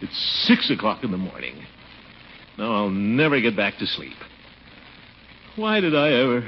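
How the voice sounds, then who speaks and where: An actor playing a stout, bashful middle-aged uncle in a 1940s radio comedy, hemming, hawing, chuckling and easily flustered. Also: male voice, medium pitch, huge pitch swings, measured pace. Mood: grumbling. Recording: muffled, noisy 1940s radio recording.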